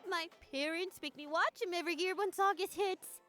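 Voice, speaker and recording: A woman's voice: very high voice, normal speed, pushed and bright, faint music behind. A woman playing an anxious, whiny, dramatic young schoolgirl in a visual novel game, fixated on clean teeth. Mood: grumbling.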